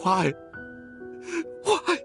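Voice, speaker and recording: A man's voice: drawn-out words, fairly bright tone, faint music behind. A man voicing a desperate young son, pleading and faltering, in a video game drama. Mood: desperate, grieving.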